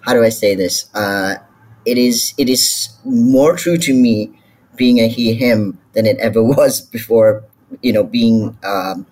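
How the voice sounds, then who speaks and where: A man's voice: lowish voice, darkish tone, breathy edge, rough recording. A man answering on a panel, hesitant, with many ums and restarts. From Malaysia. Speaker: panel speaker. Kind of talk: casual spoken answer on a panel.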